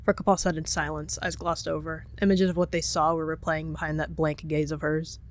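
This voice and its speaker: Casting: roleplay narrator with a medium-pitched voice, dramatic third-person storytelling. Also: level tone, fairly quick.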